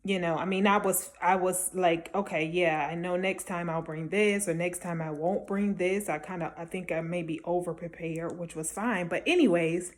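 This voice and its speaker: Woman giving encouraging, slightly low-pitched advice. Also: steady tone, moderate pace, few pauses. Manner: encouraging, emphatic, conversational with check-ins like okay and right. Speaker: small-business vendor giving advice. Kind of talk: casual motivational advice talk to camera.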